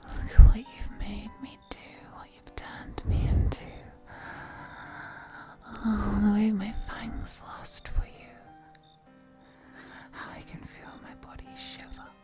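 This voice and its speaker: Slow, whispering seductive vampire woman, acted out by a woman. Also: medium pitch, monotone, over loud music, natural voice. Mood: hungry, seductive. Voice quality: breathy, whispery. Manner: slow, teasing, purring endearments. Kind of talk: intimate roleplay audio drama.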